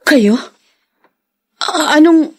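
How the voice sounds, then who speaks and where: Anxious young daughter, Filipino radio drama; extremely high voice, tender and emotional. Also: female voice, lively intonation, very slow, heavily acted. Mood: startled.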